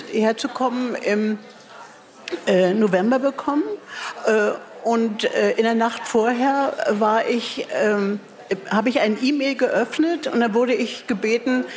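A woman's voice: fairly high pitch, measured pace, darkish tone. Older Berlin writer woman, hesitant, wry, self-mocking interview answers. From East Germany. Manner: hesitant, many fillers and restarts. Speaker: writer and guest speaker.

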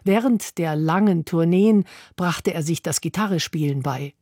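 A woman narrates a scripted radio feature in a measured, even read. Her voice sits a little low and sounds mellow and warm. The delivery is polished and steady. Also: dry recording, clean studio sound. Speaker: radio presenter.

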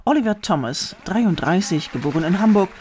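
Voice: mid-range voice, animated, fairly quick, darkish tone, a little hiss or hum. A narrator reading a scripted news report.

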